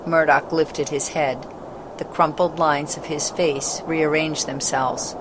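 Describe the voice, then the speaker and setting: A woman's voice: deep voice, fairly even intonation, slow. A woman narrating a children's story from a script. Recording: clearly noisy background ambience.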